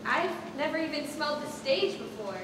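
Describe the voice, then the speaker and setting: A teenage girl acting an awkward, nervous high school student in a stage play. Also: lively intonation, normal speed. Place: large school theatre stage, far camera. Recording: distant echoey auditorium recording, loud noise.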